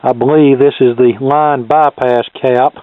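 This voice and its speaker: A man's voice: mid-range voice, fairly even intonation, measured pace, clean tone, no room sound. Man with thin, phone-like sound, thinking aloud. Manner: plain-spoken, thinking aloud as he inspects. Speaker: vintage radio repair hobbyist presenter. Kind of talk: casual unscripted demonstration commentary.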